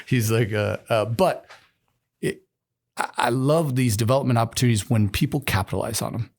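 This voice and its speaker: Rambling middle-aged country-accented host. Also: male voice, medium pitch, a little fast. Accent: rural American. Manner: rambling, warm praise, many restarts. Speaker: podcast host, military chief. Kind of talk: casual interview chat.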